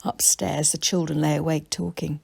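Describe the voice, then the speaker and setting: Woman, children's story narration, slightly low pitch, creaky, dry recording. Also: level tone, moderate pace, a little mellow, no room sound. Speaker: author reading own children's story.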